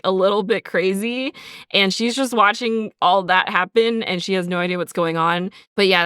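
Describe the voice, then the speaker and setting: A woman's voice: medium pitch, fairly bright tone, smooth, no echo, clean studio sound. A woman podcast host talking casually about her craft, peppering her sentences with "like". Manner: informal, frequent "like" as filler. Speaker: podcast host and audio drama sound designer. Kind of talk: casual behind-the-scenes podcast chat.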